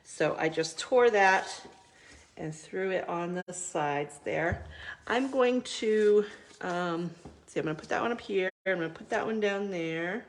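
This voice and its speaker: A woman's voice: fairly low pitch, measured pace. A middle-aged woman hosting a live crafting video, chatting casually with many ums while she works, still stuffy from a cold.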